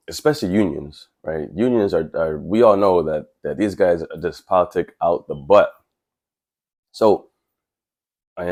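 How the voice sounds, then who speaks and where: A man's voice: deep voice, darkish tone. A man who works as a welder, talking casually and frankly to camera, his voice stuffy from a cold. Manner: earnest, plainspoken and frank. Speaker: welder and tradesman vlogger.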